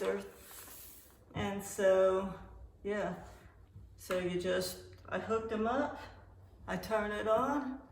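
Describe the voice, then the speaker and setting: A woman giving a casual product demo at home, explaining things in an informal, folksy and halting way. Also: medium pitch. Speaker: product reviewer and vlogger. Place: indoors at home. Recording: roomy echo, faint background noise.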